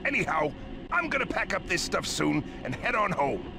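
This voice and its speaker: An actor playing a greedy, blustery old crab boss with a gravelly, salty sea-captain manner in a cartoon game. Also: male voice, high voice, big pitch swings, moderate pace, background noise, heavily acted. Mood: jovial, greedy. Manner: blustery, salty pirate-like talk. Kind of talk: video game cartoon acting.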